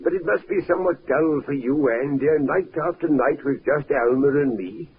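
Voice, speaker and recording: An actor playing a well-to-do retired husband in a 1950s radio drama, mild, polite and measured. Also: male voice, a little high, close to an everyday voice. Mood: mild, courteous. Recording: tinny, noisy 1950s radio recording.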